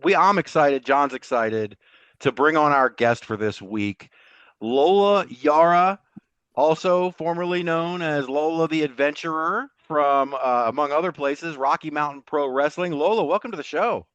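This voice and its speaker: A man's voice: fairly high pitch, measured pace, fairly bright tone, clear, resonant tone, no echo. Male podcast host, dull lo-fi audio. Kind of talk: casual sports podcast chat.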